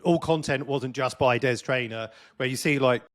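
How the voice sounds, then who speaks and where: A man's voice: mid-range voice, moderate pace. A man hosting an interview podcast, asking questions in a loose, conversational way with plenty of fillers. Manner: relaxed, conversational, lots of fillers. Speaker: podcast host.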